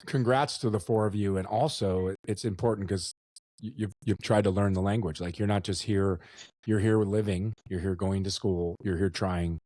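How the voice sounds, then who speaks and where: A man's voice: low-pitched, fairly even intonation, moderate pace, mellow, dry recording. A middle-aged man hosting a casual interview podcast, enthusiastic and often repeating words as he thinks. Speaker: podcast host.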